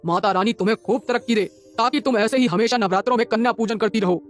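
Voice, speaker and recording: A woman's voice: fairly high pitch, faint music behind, natural voice. Woman playing a kindly, solemn wandering holy man. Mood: blessing. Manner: benevolent, measured, blessing. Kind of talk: Hindi cartoon moral-story dialogue.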